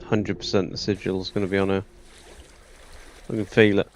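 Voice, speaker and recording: A man's voice: sits low, little pitch movement, moderate pace, a little hiss or hum. A man giving casual let's play commentary, muttering to himself as he goes through a game. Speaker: gamer commentating on a playthrough.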